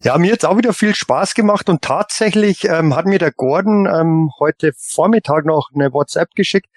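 Higher-voiced man, halting podcast chat. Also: dry recording, studio quality. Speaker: podcast panelist. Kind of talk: casual podcast chat and anecdote telling.

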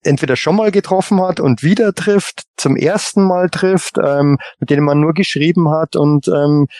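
A man with a slightly high voice speaks as a panellist on a casual fan podcast. He is halting and repeats words as he works out his thoughts. The sound is close and dry. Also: darkish tone, dry recording.

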